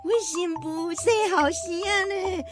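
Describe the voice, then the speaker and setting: Old grandmother, Taiwanese Hokkien, unhurried, drawn-out and emphatic, radio drama, light music underneath. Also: very high-pitched, fairly even intonation. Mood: overjoyed. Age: older. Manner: sighing, drawn-out, emphatic delivery. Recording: music behind.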